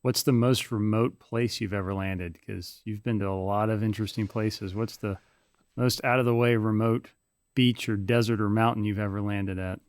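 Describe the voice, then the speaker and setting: A man hosting a podcast, asking a guest brisk, friendly interview questions. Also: lowish voice, steady tone, no echo, clean studio sound. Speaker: podcast host. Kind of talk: interview questions, rapid-fire segment.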